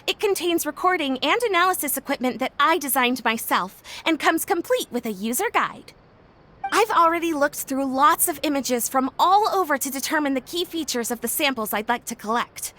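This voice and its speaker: A woman's voice: high voice, expressive, normal speed, sharp tone. A woman playing an eager, dramatic young female researcher in a video game, with a gushing, theatrical salesy manner. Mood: enthusiastic. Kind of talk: video game character dialogue. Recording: background music under the voice. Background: game background music.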